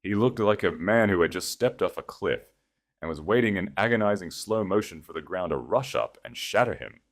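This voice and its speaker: A man reading scripted audiobook narration in a dramatic storytelling style. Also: rumbling low.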